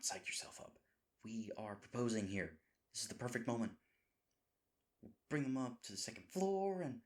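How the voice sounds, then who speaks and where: A man's voice: on the low side, fairly bright tone. A man playing a devoted, goofy boyfriend nervous about proposing, rambling and chiding himself earnestly in a scripted romantic audio roleplay. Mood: nervous, self-coaching.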